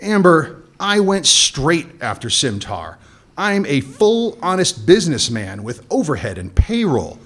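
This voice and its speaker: A man's voice: fairly high pitch, very animated, a little slow, fairly bright tone, full character voice. A man playing a cynical male smuggler turned hotel owner, dry and sardonic, in a radio play. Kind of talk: amateur radio drama acting.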